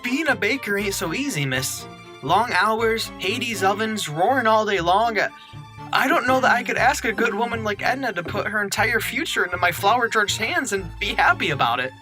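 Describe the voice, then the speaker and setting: A woman's voice: mid-range voice, lively intonation, moderate pace, sharp tone, soft background music. A woman playing a humble, earnest small-town baker in a comic audio play, courteous and nervous. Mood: nervous, modest.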